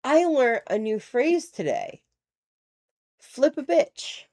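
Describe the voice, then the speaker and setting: A woman's voice: high voice, big pitch swings, slow pace, mellow. Rhode island lady cohost, chatty and swearing, retelling stories casual. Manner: chatty, profane, quotes others in retelling. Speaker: podcast cohost. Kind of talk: casual chat.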